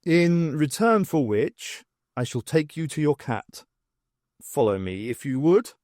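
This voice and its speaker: Male narrator as senior male don, courteous, formal, ornate phrasing, expressive pitch, audiobook character. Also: measured pace, dry recording, clean studio sound. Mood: courteous request.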